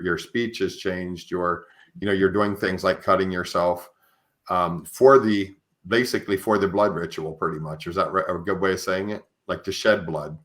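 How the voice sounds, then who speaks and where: Deep-voiced man interviewing tentatively. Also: a bit bright. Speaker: podcast host. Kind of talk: conversational interviewing.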